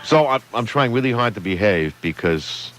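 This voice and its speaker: A man's voice: on the low side, lively intonation, moderate pace, fairly bright tone, soft background music. A man co-hosting a radio talk show, chatty and wry in manner. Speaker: radio co-host.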